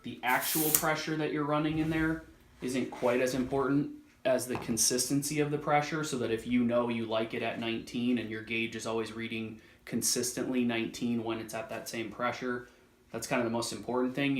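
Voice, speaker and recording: A man's voice: mid-range voice, flat delivery, fairly quick, echoey room, slight background noise. A man giving a casual product demonstration as a reviewer, thinking aloud in a loose, slightly hesitant way.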